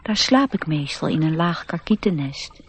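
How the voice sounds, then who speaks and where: A woman acting a proud, youthful male nature sprite, self-assured and gently instructive, in a radio play. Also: low-pitched, animated, normal speed, slight background noise. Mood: confiding.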